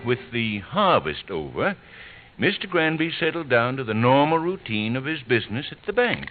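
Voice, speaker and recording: A man's voice: mid-range voice, sing-song, moderate pace, soft background music. A man narrating a vintage radio sitcom as announcer in a scripted storytelling style. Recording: tinny, hissy vintage radio broadcast.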